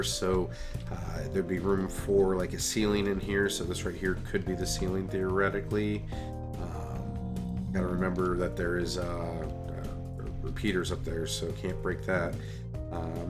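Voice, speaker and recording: A man with a stuffy, congested nose casually commenting on his gameplay, hesitant and full of ums and uhs as he explains each step. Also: low voice. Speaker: host of a gameplay channel.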